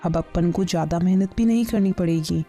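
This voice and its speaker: A woman's voice: lowish voice, a little fast, dark tone, natural voice. A woman playing a greedy, scheming village farmer's wife in a kids' cartoon, with a nagging, commanding manner. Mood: gleeful scheming. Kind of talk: Hindi kids' cartoon story dialogue. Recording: music under the voice.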